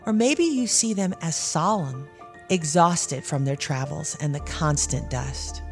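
A woman narrating a scripted audio drama in a measured, evocative storytelling way. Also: low voice, animated. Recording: clear music bed underneath.

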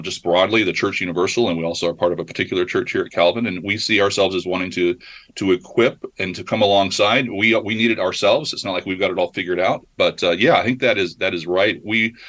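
A man with a very low voice answers a radio host's questions. He speaks modestly and haltingly. He often repeats words and says uh and um as he works out his thought. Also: a bit bright. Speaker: guest from a civic project.

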